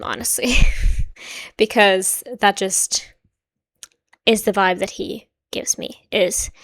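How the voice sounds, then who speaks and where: Soft-spoken woman musing aloud. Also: medium pitch, clear, resonant tone. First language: Swedish. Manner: musing, hedging, soft-spoken with frequent quiet trailing asides. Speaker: reaction video host. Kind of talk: casual reaction commentary.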